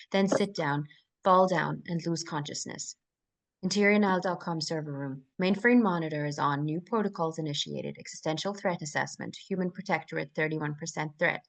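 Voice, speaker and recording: A woman narrates a screenplay at a table read in a fairly low voice. She reads stage directions and scene headings as scripted action.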